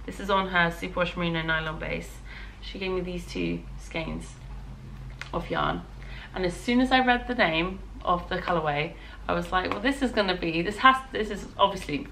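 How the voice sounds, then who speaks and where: A woman with a British accent and a hoarse voice, chatting casually and ramblingly as a knitting vlogger. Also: lowish voice, a bit bright, a little hiss or hum. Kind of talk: casual vlog chat.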